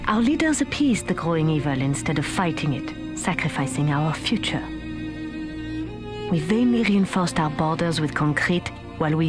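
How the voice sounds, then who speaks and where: An actress playing a grave, resolute wartime narrator, delivering solemn, deliberate scripted narration for a game cutscene. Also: female voice, low voice. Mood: grave, challenging. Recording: loud music under the voice.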